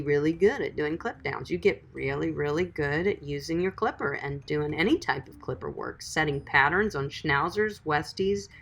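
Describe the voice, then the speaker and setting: Woman, dog groomer, livestream chat, low pitch, gravelly fry, faint music behind. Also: a bit bright. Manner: chatty, encouraging, calls viewers sweetie and guys. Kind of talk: livestream chat reading viewer comments.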